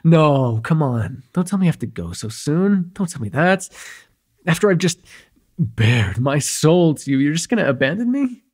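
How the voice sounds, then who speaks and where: Man acting a breathy, cocky young male vampire. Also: a little high, very animated, moderate pace, a little mellow. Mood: pleading, teasing. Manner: rambling, playful, slangy, hesitant asides. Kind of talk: immersive ASMR roleplay acting, casual and conversational.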